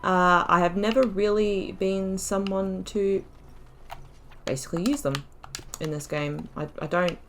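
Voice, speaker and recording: A woman's voice: medium pitch, steady tone, measured pace, a bit bright, slight background noise. A woman giving casual let's play commentary, chatty and musing, thinking aloud as she plays. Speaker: gaming YouTuber playing through a role-playing game.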